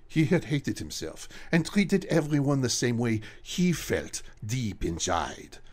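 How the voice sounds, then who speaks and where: A man narrating a radio horror drama in an ominous storytelling manner, with a gravelly, husky voice. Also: on the low side.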